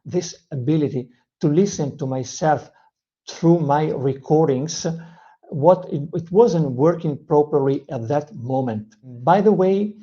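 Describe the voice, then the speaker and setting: Italian-accented channel host teaching English; earnest, hesitant delivery, warm low timbre, muffled recording. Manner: earnest, with frequent "uh" hesitations and self-corrections. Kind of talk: motivational teaching talk.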